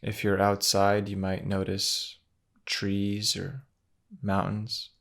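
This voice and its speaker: Slow, low-voiced man guiding meditation. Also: monotone, gravelly. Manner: gentle, measured, with long pauses between phrases. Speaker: meditation guide. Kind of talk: guided meditation.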